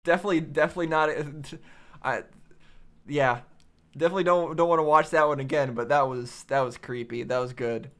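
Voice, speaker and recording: A man giving casual reaction commentary, thinking aloud in a halting way and repeating phrases. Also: fairly high pitch, fairly even intonation, a bit bright. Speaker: reaction video host.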